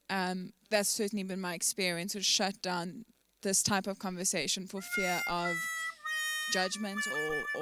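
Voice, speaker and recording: A woman from the congregation speaking earnestly and in a measured way in a church panel discussion. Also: medium pitch, a bit bright, dry recording. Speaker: church member.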